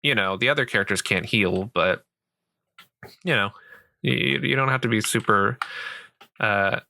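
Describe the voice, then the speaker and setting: A man playing a sweet, patient gamer boyfriend, softly and gently explaining a game in a casual, rambling roleplay. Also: fairly low pitch, a little slow, heavily acted. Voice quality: soft, creaky voice. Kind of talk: roleplay ASMR, casual improvised game tutorial.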